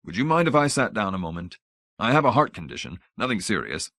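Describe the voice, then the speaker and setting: A man's voice: on the low side, very animated, fast, pushed and bright, no room sound, clean studio sound, exaggerated voice. Man acting pompous, timid old research director. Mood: bewildered, shaken. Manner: grandly intoning, idealistic, fussy.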